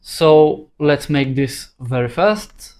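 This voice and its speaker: Slow, halting male tutorial instructor. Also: a little high, lively intonation, darkish tone, clean tone. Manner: halting, thinking aloud in short phrases. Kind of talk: unscripted screen-recorded tutorial narration.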